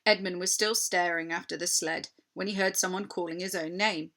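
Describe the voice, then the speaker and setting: A woman reading aloud a children's story as an expressive narrator. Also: fairly low pitch, normal speed. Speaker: story reader.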